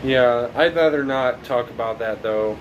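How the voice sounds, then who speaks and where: Slow, rambling teenage guy with faint hum. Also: medium pitch, highs cut off. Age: late teens. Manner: rambling, halting, many fillers and restarts. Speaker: documentary subject. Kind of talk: casual reflective monologue.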